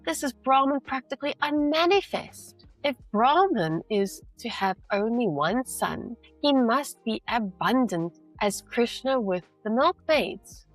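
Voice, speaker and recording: A woman teacher reading a novel aloud with deliberate, emphatic phrasing and brief explanations. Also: on the high side, expressive, faint music behind, rough recording.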